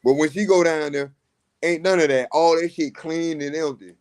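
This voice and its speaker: A man with a somewhat high voice talks heatedly about politics in casual chat, swearing and stretching out his points for emphasis. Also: a little mellow. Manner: heated, profane, drawn-out emphasis.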